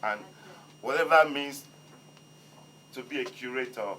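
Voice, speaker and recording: A man's voice: medium pitch, unhurried, bright, forceful tone, slight background noise, rough recording. A man who is not an English speaker, giving a hesitant, apologetic speech as curator at a museum exhibition opening. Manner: hesitant, apologetic, with many ums. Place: museum hall.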